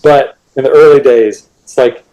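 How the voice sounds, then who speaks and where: A man's voice: medium pitch, normal speed, dark tone, low-quality recording. A man hosting a casual interview, giving constant yeahs and uh-huhs and often restarting his sentences. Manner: frequent backchannel yeahs, trailing restarts. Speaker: host.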